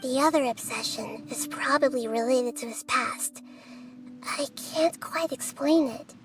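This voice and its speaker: A woman voicing a calm, inquisitive young girl-like deity in a fantasy game, soft, measured and analytical. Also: high voice. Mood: pensive. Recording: music behind the voice. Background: game music.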